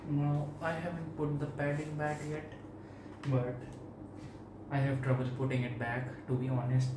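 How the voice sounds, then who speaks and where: A man's voice: medium pitch, completely flat delivery, a little slow. A man with an Indian accent giving a casual, plain-spoken product demonstration and review as a vlogger. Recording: echoey room, distant mic, clear background noise.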